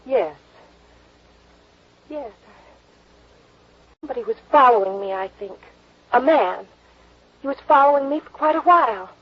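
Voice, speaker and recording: A shaken, hazy young woman: breathy, fairly high voice, halting delivery, lo-fi old radio sound. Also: animated, heavily acted. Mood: dazed, shaken. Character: dazed, frightened young woman suspected of murder. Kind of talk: 1950s radio drama acting. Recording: dull old radio broadcast recording.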